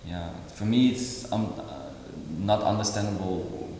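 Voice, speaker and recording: A man in his forties, originally from Indonesia, speaking in an interview as an artist, halting with many fillers and restarts. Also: on the low side, warm tone. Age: 40s. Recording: echoey hall with faint noise.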